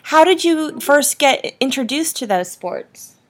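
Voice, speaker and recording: Brief: woman archive interviewer, slightly high voice, steady and formal. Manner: measured, formal questioning. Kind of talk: oral history interview.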